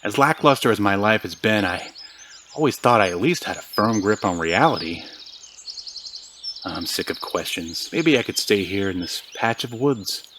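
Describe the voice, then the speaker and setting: A man's voice: fairly low pitch, lively intonation, moderate pace, background noise, lightly acted. An actor playing an exasperated, down-on-his-luck podcaster in a naturalistic audio drama, talking in a defensive, slangy, emphatic way. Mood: weary, doubting. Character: exasperated, down-on-his-luck man, obsessed podcaster hunting lizard conspiracies.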